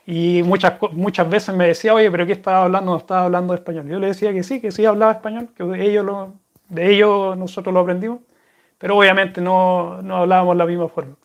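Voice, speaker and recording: A man's voice: high voice, little pitch movement, fast, dull audio. A man with a Chilean accent, chatting casually to viewers and answering their questions in a rambling, friendly way. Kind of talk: casual chat to camera.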